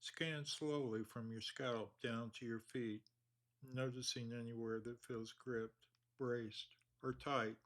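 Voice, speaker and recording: A man leading a guided meditation, speaking slowly and calmly with long pauses. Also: mid-range voice. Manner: slow, calm, measured delivery with long pauses.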